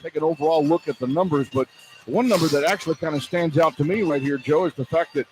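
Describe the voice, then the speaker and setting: A male play-by-play announcer with a somewhat high-pitched voice delivers live sports radio commentary. He runs through the halftime picture as a broadcaster working inside a basketball arena. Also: quick pace, over music, low-quality recording.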